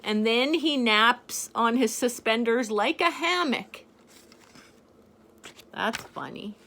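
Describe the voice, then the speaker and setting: An older woman reads a picture book aloud to a little one, slow and unhurried. Her voice sits high and bright, gentle and playful as she points out what is on each page. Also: animated, slight background noise. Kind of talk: storybook read-aloud to a child.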